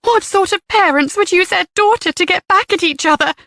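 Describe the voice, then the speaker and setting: Frantic tearful mother, voiced by a woman, high and pleading, in a close, dry read. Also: little pitch movement, normal speed, sharp tone, no room sound. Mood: distraught. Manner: trembling, high and strained.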